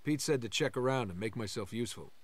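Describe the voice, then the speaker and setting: A man's voice: medium pitch, fast, natural voice. An actor playing an eager, brash male bandit recruit in a video game, keen to prove himself.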